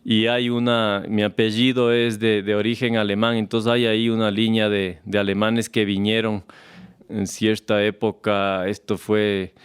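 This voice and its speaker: A man from Ecuador with an Ecuadorian Spanish accent, a farmer talking as a guest in a relaxed, unhurried way, often restarting and repeating words. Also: lowish voice, clear, resonant tone. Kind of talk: relaxed conversational storytelling. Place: farm, recorded on location.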